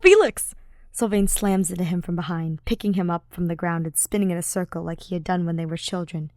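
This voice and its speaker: A woman's voice: fairly low pitch, flat delivery, fast, mellow, no echo, studio quality. A woman reading fiction aloud as a scripted audiobook narrator. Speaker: podfic narrator.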